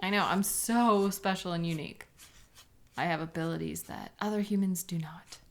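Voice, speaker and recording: A woman's voice: lowish voice, moderate pace, creaky. Woman acting a bitter, sarcastic sketch artist. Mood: cold, bitter. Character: embittered young woman police sketch artist with memory-erasing powers, contemptuous. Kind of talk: dramatic audio roleplay monologue.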